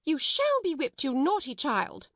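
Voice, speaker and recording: A woman voicing a severe, stately schoolmistress with a sharp manner in a storybook reading. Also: high voice, big pitch swings, a little slow, no echo, studio quality, voice pushed up, heavily acted. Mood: angry, yelling. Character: stern, severe, stately schoolmistress, quick to anger.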